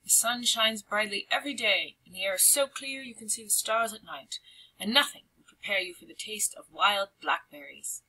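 A woman's voice: mid-range voice, moderate pace, sharp tone, breathy edge, low-quality recording. A woman voicing an enthusiastic, dreamy male town mouse in a storybook, savouring each phrase. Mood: longing.

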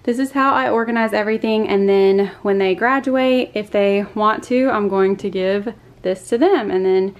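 A woman's voice: mid-range voice, normal speed, hardly any pauses, muffled recording. A woman, chatting casually in a voice-over for her home vlog. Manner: chatty, confiding, says y'all. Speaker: vlogger.

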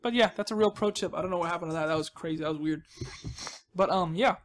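A man's voice: high voice, fast talker. A young man giving casual, playful gaming commentary, rambling and reacting to himself as he plays. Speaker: gaming host. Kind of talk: casual Let's Play commentary.

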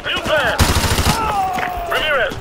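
A tough army sergeant barks urgent orders at a very high pitch, as in a war game. Heavy gunfire roars all around him. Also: male voice, animated, slow, heavily acted. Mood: commanding. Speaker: squad leader. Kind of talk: shouted military game dialogue. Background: loud gunfire and battle noise.

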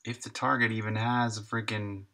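A man's voice: fairly low pitch, flat delivery, moderate pace, pushed and bright. A man in his thirties chatting casually and thinking aloud while he codes on a live stream, sometimes muttering to himself. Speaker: game developer live streaming.

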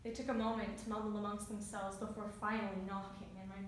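A woman performing a dramatic monologue as a bitter, grieving teenage daughter of a soldier, emphatic and swinging from hushed to shouting. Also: medium pitch, level tone, fast, natural voice. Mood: tense grief. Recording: very echoey room, distant mic, faint noise.

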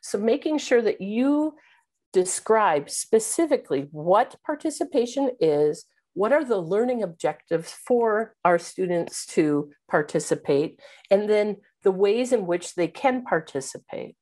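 A woman's voice: medium pitch, animated, measured pace, darkish tone. Woman giving a teaching webinar. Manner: instructive, fillers like um and uh, asks "right?". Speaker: keynote speaker on teaching. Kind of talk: webinar presentation. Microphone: video call webcam.

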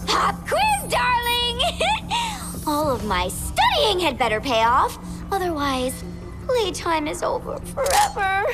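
A gleefully cruel supervillainess in a video game, full of playful menace. She drawls her taunts at a slow, theatrical pace while her pitch leaps about. Loud music plays behind her. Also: huge pitch swings, heavily acted. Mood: manic, taunting. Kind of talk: video game cutscene acting.

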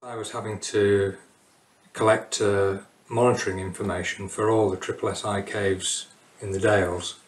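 A conservation officer speaks in a low, flat voice, hesitating with frequent fillers. His interview has a roomy echo around it. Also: moderate pace. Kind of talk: documentary interview.

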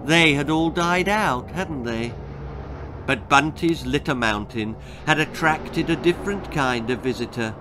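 Slow, theatrical older male storyteller. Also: on the high side, background noise. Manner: theatrical, deliberate, suspenseful. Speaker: author reading his own story. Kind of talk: dramatic children's horror-comedy storytelling. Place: book-lined room indoors. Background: music.

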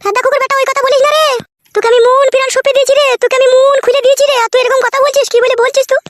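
Squeaky, shrill, scolding cartoon wife. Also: female voice, flat delivery, racing, slight background noise. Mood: aggrieved. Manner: shrill, scolding, repetitive insults. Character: jealous, foul-mouthed village first wife, quarrelsome and aggrieved. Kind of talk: comic cartoon dialogue.

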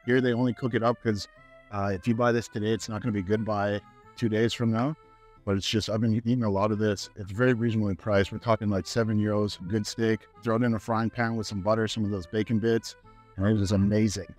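A man's voice: lowish voice, little pitch movement, fast, a little mellow, faint music behind. Chatty man on a lapel mic. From Canada. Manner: chatty, upbeat, talks to camera with fillers. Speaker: fitness vlogger. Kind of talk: casual walk-through vlog. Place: grocery store, indoors.

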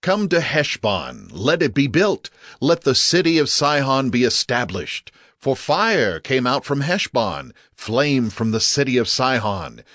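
Slow, grave ancient balladeer. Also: male voice, medium pitch, sing-song, a bit bright, dry recording, clean studio sound. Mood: grave, triumphant. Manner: grave, declamatory. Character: solemn male ballad singer reciting war taunt. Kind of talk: dramatized scripture recitation.

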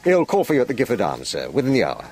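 A man's voice: mid-range voice, wildly swooping pitch, rapid-fire, darkish tone. An actor playing an uneasy, evasive small-town English office man in a radio drama, clipped and formal.

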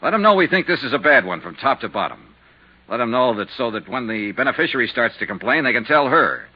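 Hard-boiled, husky radio investigator. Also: male voice, mid-range voice, sing-song, fast talker. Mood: determined. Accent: American. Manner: clipped, insistent, hard-boiled. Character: brisk, hard-nosed male freelance insurance investigator. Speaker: lead actor and narrator. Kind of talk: 1950s radio detective drama acting. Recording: dull, muffled 1950s radio recording.

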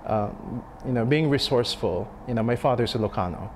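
A man in his thirties, a restaurant chef, speaking in a sit-down interview, thoughtful and halting with many fillers and restarts. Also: mid-range voice, noisy background. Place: dim restaurant dining room. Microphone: lapel mic.

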